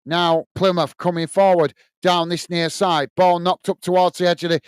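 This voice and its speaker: A man's voice: high-pitched, level tone, moderate pace, clean tone, no echo, studio quality. Man with a strong Lancashire accent. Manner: chatty, reads out chat messages with filler "uh". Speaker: watch-along host and commentator. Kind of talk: live football commentary and chat reading viewer comments.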